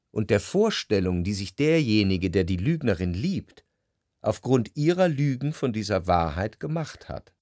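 An actor playing a melancholy, jealous lover who narrates his memories in a reflective, measured literary radio play style. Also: on the low side, darkish tone, dry recording, clean studio sound. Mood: dismayed, reflective.

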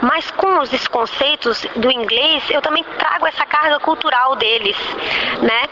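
A young Brazilian woman with a high voice answers a radio interview in a measured, reflective way, often pausing and rephrasing as she goes. The broadcast sound is dull and muffled, with a clear layer of hiss underneath. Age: young adult. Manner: thoughtful, measured, frequent restarts. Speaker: guest.

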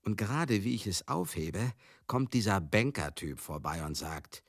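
An actor in a German radio drama monologue, playing a garrulous, rough-mouthed showbusiness man, chatty, theatrical and excitable. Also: on the low side, measured pace, no echo, studio quality, heavily acted. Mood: chatty reminiscing. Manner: chatty, theatrical, swinging into outbursts.

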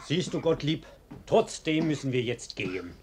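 An actor in a German radio play playing a polite, coolly menacing arsonist who is deliberate and mockingly earnest. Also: male voice, on the high side, big pitch swings, background noise.

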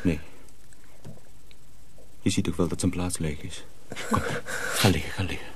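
An actor playing a shaken, gruff young mill journeyman who takes charge curtly in a radio drama. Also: low voice, steady tone, a little slow, heavy background noise. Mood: curt, subdued.

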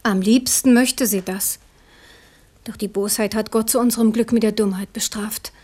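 A woman's voice: mid-range voice, fairly even intonation, moderate pace, a little mellow, lightly acted. An actress playing a stern, devout queen who speaks earnestly and firmly to her young son in an old radio drama. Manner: earnest, warning, firm. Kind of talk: 1970s radio drama acting.

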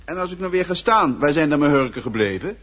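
An actor in an absurdist radio play, playing an evasive, self-effacing traveller with a formal, contrary manner. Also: male voice, on the high side, animated, fast, fairly bright tone. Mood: argumentative. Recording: muffled old radio drama recording.

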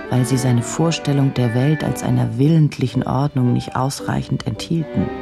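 An actress playing a driven, troubled journalist in a German radio drama, speaking with brooding, indignant intensity and deliberate phrasing. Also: female voice, very deep voice, creaky, exaggerated voice. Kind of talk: German radio drama, dialogue and inner monologue.

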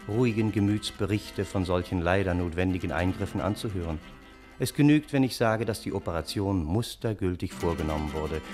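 An actor playing a wry, long-suffering, courteous gentleman who narrates a radio play. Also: low voice. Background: balalaika music.

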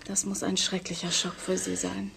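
An actress playing a gentle, composed, discreet woman in an intimate radio drama, with a breathy, husky voice. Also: fairly low pitch, monotone, moderate pace, slight background noise. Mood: grave, consoling.

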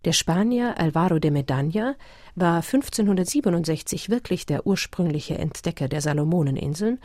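A narrator delivers scripted documentary text in measured written sentences. The voice is medium in pitch and recorded very dry in a studio. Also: lively intonation, a little mellow, dry recording.

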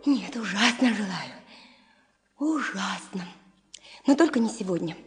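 An actress playing an affectionate, playful woman in love in a Soviet radio play, pleading softly and often breathily. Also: mid-range voice, lively intonation, measured pace, natural voice. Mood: tender. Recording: 1970 radio play, long reverb.

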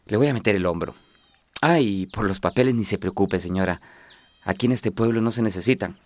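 An actor playing an obliging, folksy innkeeper in a radio drama, chatty and persuasive. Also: male voice, lowish voice, fairly quick, lightly acted. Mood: reassuring. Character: folksy, obliging male innkeeper in a border town.

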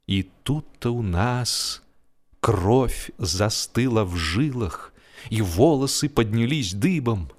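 A man reading a humorous story as an expressive, scripted narration, in a measured, theatrical storytelling manner. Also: fairly low pitch. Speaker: audiobook narrator. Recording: music behind the voice. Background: music.

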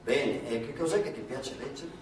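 An actor on stage playing bombastic male characters such as comrades and officials, with theatrical, declamatory delivery and drawn-out emphasis. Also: mid-range voice, lively intonation, a crowd in the background, natural voice. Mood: measured questioning. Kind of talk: live stage drama, declamatory. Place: indoor theatre stage, distant microphone. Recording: very echoey theatre, far mic, faint noise.

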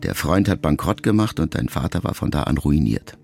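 A man reading a letter aloud as an audiobook, in a measured, reflective way. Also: rumbling low, lively intonation, creaky. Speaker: narrator. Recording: loud music underneath.

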